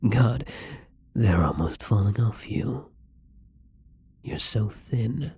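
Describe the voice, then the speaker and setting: A man playing a newly turned young vampire in an intimate ASMR roleplay, apologetic and hungry, speaking softly and hesitantly with a husky, breathy voice that often drops to a whisper. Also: fairly low pitch, lively intonation, slow pace, a little hiss or hum. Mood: concerned, gentle.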